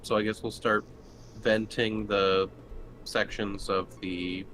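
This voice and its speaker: A man's voice: on the low side, flat delivery, takes it slowly, fairly bright tone, faint music behind, rough recording. A man playing a tabletop roleplaying game, chatting casually as one of the players.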